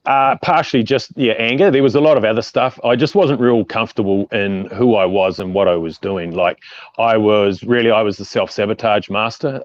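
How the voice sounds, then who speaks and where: A man in his forties with a New Zealand accent, a mindset coach talking as a guest on a video call in a relaxed, plain-spoken way. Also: lowish voice, dry recording. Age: 40s. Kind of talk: casual conversational interview. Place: outdoors by a brick wall. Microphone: earphone mic on video call. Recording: dry, dull video call audio.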